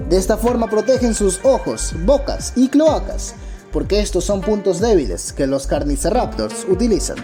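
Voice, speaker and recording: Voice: mid-range voice, warm tone. A narrator giving a scripted documentary-style account with a dramatic, opinionated storytelling manner. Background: background music.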